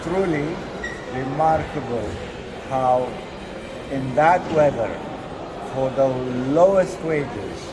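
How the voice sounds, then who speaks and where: A man speaking slowly and solemnly as a visiting guest. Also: medium pitch, animated. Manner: slow, solemn, deliberate. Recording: loud background noise.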